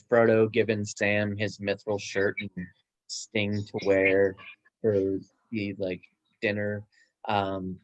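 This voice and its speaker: A man's voice: fairly low pitch, a little mellow, muffled recording. A man chatting casually and enthusiastically in a book discussion. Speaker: book club guest, bookstore worker.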